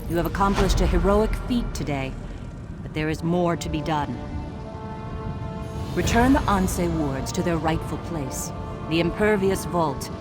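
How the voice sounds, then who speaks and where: Ancient guardian spirit for a fantasy game, acted, solemn and ceremonial, middle register, loud score underneath. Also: medium pitch, close to an everyday voice. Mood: solemn, grateful. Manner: formal, ceremonial, measured. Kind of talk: scripted game dialogue. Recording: loud music behind the voice. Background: game music.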